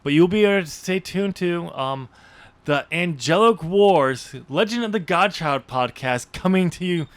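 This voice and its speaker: A man's voice: high-pitched, animated, a little slow, dry recording. A man chatting casually as a podcast host and author, with joking, teasing banter.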